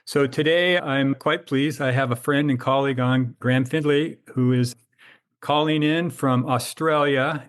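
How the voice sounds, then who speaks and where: Measured male podcast host. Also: medium pitch. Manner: friendly, measured questioning. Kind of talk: podcast interview.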